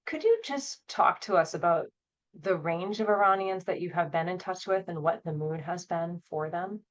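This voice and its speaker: A woman interviewer asking questions in a measured, gentle way with some hesitation. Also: fairly low pitch, muffled recording.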